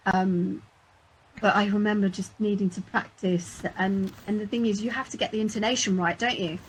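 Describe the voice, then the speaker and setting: A woman voice actor answering panel questions in casual chat, laughing often and telling animated stories. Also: mid-range voice, normal speed, husky, rough recording. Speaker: voice actor guest.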